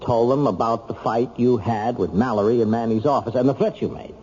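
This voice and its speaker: A man's voice: medium pitch, fairly quick, dark tone, lightly acted. An actor playing a sober, practical city councilman in his thirties, questioning his brother insistently in an old-time radio drama. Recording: dull, lightly noisy old radio recording.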